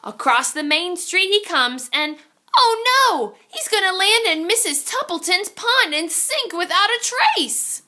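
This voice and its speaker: A woman's voice: very high-pitched, animated, unhurried, pushed and bright. A woman reading a children's picture book aloud in a theatrical, suspenseful way, drawing out her exclamations. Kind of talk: animated children's story read-aloud.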